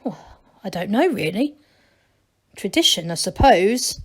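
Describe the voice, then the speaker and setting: A woman's voice: medium pitch, big pitch swings, unhurried, breathy edge. A woman voicing a knowing, sheepish old male gnome guide in a storybook. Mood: flustered.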